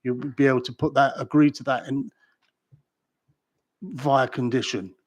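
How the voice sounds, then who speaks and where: A British man speaks as a councillor at a planning meeting. He talks in halting stretches, with plenty of "um"s and restarts, in an ordinary mid-pitched voice. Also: level tone, a little mellow. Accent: British English. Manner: halting, many fillers and restarts. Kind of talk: formal meeting questions.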